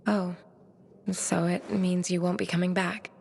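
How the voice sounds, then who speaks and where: An actress playing a shy, dreamy, bookish young woman who speaks softly and wistfully, often half-whispering. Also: lowish voice, little pitch movement, unhurried, creaky, breathy edge, heavily acted.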